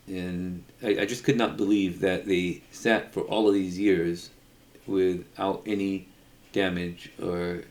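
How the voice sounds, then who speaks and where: A middle-aged man telling an unscripted personal story in a halting way, often repeating words as he goes. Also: low voice, a little mellow. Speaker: storyteller, video creator.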